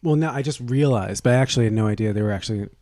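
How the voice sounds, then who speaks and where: Man with a dark, mellow voice, dryly snarky. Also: mid-range voice, racing, no room sound. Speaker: podcast co-host. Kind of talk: casual comedic chat.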